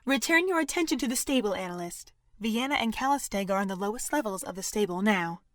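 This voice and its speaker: A woman playing a coldly logical facility overseer in an audio drama, speaking in a formal, measured, detached manner. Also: mid-range voice, expressive, a bit bright, no echo, natural voice. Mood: calm.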